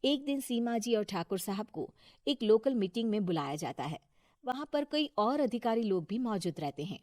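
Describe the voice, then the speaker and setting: A woman's voice: fairly high pitch, animated, moderate pace, darkish tone, dry recording, clean studio sound. A woman giving scripted narration as the storyteller of a Hindi cartoon moral tale.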